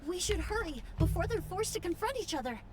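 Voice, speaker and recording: A woman voicing a composed, polite investigator in an anime-style game, with measured, formal phrasing. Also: extremely high pitch, very animated, darkish tone, slight background noise. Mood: grave.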